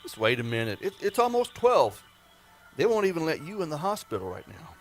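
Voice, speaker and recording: A man's voice: a little high, huge pitch swings, brisk, slight background noise. A man acting an earnest government project man in an amateur radio play. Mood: weary, grim. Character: Hank, earnest government project man, urgent then grimly resigned.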